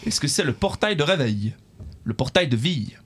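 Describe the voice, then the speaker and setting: A man's voice: a little high, sing-song, moderate pace, a bit bright, slightly rough. A man hosting a live quiz game, reading out questions and bantering playfully with the contestants. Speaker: quiz game show host.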